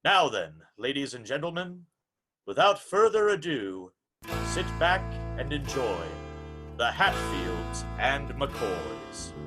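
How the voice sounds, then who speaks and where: A man's voice: mid-range voice, sing-song, takes it slowly, music in the background. A man playing a formal, polite old-time radio hour host, announcing in a theatrical, scripted showman's style. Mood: genial, showy.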